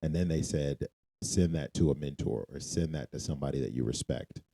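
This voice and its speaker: A man's voice: rumbling low, brisk, creaky, background noise. A man co-hosting a podcast, talking casually about faith and repeating phrases for emphasis. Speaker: podcast co-host.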